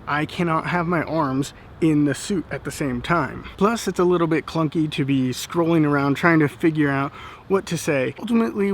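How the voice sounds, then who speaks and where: A man's voice: fairly high pitch, gravelly, slight background noise. A man giving a casual how-to demonstration as a costume vlogger.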